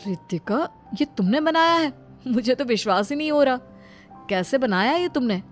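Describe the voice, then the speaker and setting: A woman's voice: high voice, expressive, moderate pace, soft background music, pitched above the performer's own voice. A woman voicing a fussy, exasperated young husband who scolds and instructs in a cartoon moral story. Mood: incredulous. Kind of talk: animated Hindi moral story dialogue.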